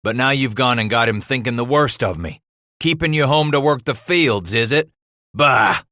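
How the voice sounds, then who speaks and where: Man acting a gruff, thundering frontier father. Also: fairly low pitch, moderate pace, sharp tone, no room sound, studio quality. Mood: angry, accusing. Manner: thundering, rustic folksy speech. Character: angry domineering frontier father, gruff and stern.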